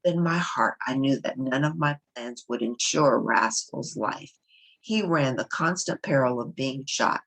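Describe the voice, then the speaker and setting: A woman's voice: extremely low pitch, unhurried, a bit bright. A woman reading a children's novel aloud as a storybook narrator. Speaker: storybook reader.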